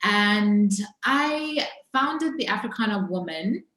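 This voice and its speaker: A woman with a Zambian accent telling her personal story as an interview guest, in a reflective, halting way with pauses mid-thought. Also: medium pitch, animated, pushed and bright. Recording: roomy, echoing sound.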